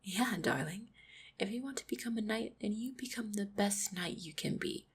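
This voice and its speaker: A woman playing a gentle, devoted orc hunter in a roleplay audio drama, speaking tenderly and reassuringly with a husky, creaky voice. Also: medium pitch, normal speed. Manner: tender, reassuring, softly spoken. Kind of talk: roleplay audio drama, intimate monologue to the listener.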